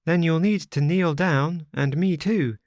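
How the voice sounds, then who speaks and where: A man's voice: measured pace, no room sound, studio quality. A man voicing a chatty, innocent little pioneer girl in a raised, childish voice for an audiobook. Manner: high, bright childish voice.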